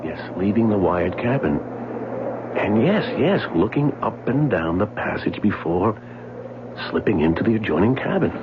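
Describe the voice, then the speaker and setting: An actor playing an earnest, loyal gentleman husband in a radio drama, often stammering as he talks. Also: on the low side, big pitch swings, moderate pace. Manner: earnest, flustered, stammering restarts. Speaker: husband investigating a mystery. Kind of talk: 1970s radio drama acting. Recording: muffled, hissy old radio broadcast.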